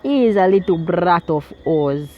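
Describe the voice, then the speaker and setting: A woman's voice: fairly low pitch, animated, a little slow, muffled recording. A woman voicing a jovial middle-aged male Italian restaurateur with a put-on Italian accent, effusive and teasing.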